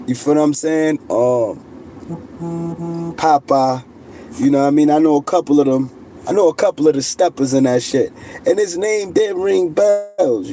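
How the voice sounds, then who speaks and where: Mellow-voiced man in a street-slang monologue. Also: on the high side, a little hiss or hum. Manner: street slang, insistent, repeats "you know what I mean". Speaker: channel host. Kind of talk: casual monologue to camera.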